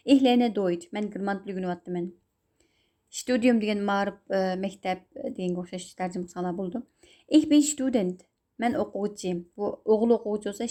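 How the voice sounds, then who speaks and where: A young woman, a native Uyghur speaker, teaching German; she reads each example sentence slowly and a bit louder, then explains it in a calmer, lower voice. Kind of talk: recorded language lesson.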